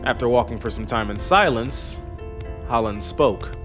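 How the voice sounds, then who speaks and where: A man's voice: mid-range voice, normal speed, a bit bright. A man reading scripted fantasy narration as an audiobook narrator. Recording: faint music under the voice.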